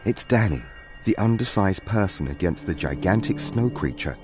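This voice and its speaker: A man's voice: sits low, animated, normal speed, music in the background. A man reading scripted story narration as the narrator of a fantasy tale.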